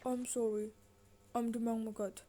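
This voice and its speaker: A woman's voice: a little high, level tone, normal speed, mellow, lightly acted. A woman voicing a humble, polite young castaway man in a children's puppet-show story. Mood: apologetic, grateful.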